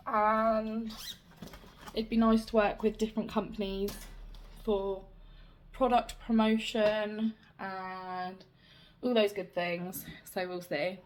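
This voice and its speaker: A young woman with a slightly raspy voice, chatting casually as she shows her cross-stitch projects. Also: mid-range voice, little pitch movement, slow pace, pauses often. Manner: chatty, rambling, self-deprecating. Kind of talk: casual show-and-tell chat.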